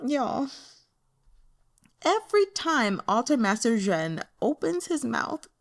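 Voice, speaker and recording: A woman giving casual, chatty commentary as a host, with an animated, gushing, giggly and expressive manner. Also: a little high, slow. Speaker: audiobook reader and host.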